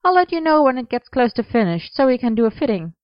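A woman voicing a brisk, bossy but friendly young female costume maker in an audiobook story. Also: fairly high pitch, very animated, no room sound, highs cut off, pitched above the performer's own voice. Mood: cheerful. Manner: brisk, no-nonsense.

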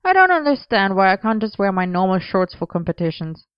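A woman's voice: medium pitch, very animated, fairly quick, clean tone, dry recording, muffled recording, lightly acted. A woman voicing a playful, whiny young man who grumbles and teases in an audiobook story. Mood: whiny, grumbling. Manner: whinging, grumbling, teasing.

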